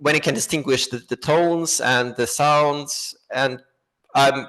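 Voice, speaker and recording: A man chats as a podcast guest, talkative and loose, with phrases tumbling out one after another. His voice sits at an ordinary middle pitch. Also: steady tone, measured pace, a bit bright, rough recording. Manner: self-described chatterbox, talkative and loose. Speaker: guest, app co-founder. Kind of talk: casual podcast conversation.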